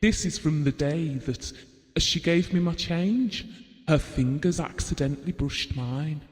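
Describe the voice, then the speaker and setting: A man's voice: a little high, measured pace, lightly acted. A man acting a devoted middle-aged husband in an audio drama, reminiscing tenderly and wistfully. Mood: wistful, fond. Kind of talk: theatrical audio drama monologue and dialogue. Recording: long hall-like reverb.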